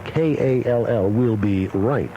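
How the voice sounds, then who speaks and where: A man's voice: medium pitch, slow, mellow. A man hosting morning radio with droll, mock-serious casual banter. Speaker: morning radio show host. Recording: dull AM radio aircheck, faint noise.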